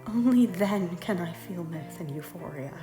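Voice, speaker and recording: Actress doing a repentant temptress lady, theatrical old-timey speech, impassioned, kinda low voice, music behind. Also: moderate pace, natural voice. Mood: impassioned, frustrated. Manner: formal, archaic, impassioned speech. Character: repentant former temptress, earnest, defiant noble lady. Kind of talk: theatrical audio drama acting. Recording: roomy echo, music underneath.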